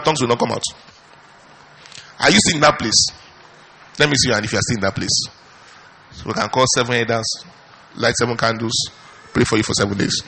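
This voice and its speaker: Animated preaching man, bright husky voice. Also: a little high, poor audio. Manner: animated preaching, rhetorical questions, frequent hallelujahs. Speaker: pastor. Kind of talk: charismatic sermon.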